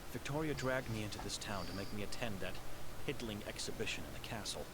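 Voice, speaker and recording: A man's voice: on the low side, animated, quick pace, very noisy, natural voice. An actor playing a suspicious, resentful monk, anxious and pointed as he questions people in a horror game. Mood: resentful, unsettled. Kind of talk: scripted dramatic game dialogue. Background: game ambience.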